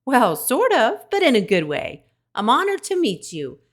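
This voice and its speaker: A small, excitable female skunk, as a woman puts her on, squeaking high above the storyteller's own voice with bright, gushing eagerness. Her lines leap and dip in lively swings, and she sounds like a friendly storybook host welcoming visitors. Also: normal speed. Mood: excited.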